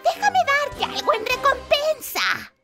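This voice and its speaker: A woman's voice: very high-pitched, wildly swooping pitch, slow pace, exaggerated voice. A woman voicing an old scheming witch with a sly drawl, over background music. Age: older.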